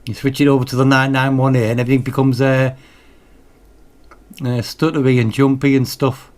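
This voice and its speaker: Man casually reviewing radio gear, halting delivery. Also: medium pitch, flat delivery, a little mellow. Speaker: radio hobbyist presenting own channel. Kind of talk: casual off-the-cuff equipment review.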